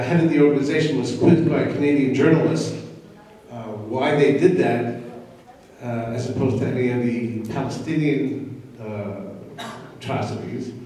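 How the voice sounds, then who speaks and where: A man speaking emphatically and deliberately, giving an impromptu opinion at a public discussion, in an echoey hall at a distance from the mic. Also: medium pitch, mellow. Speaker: audience commenter.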